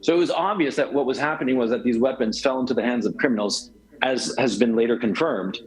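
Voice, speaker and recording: A man's voice: mid-range voice, fast, poor audio. A middle-aged man from Chile, a guest in a remote interview, talking at length with lots of fillers and restarts. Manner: rambling, many fillers and restarts.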